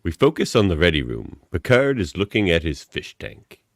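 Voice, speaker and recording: Deep-voiced male narrator, animated. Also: normal speed. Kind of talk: scripted narration of stage directions.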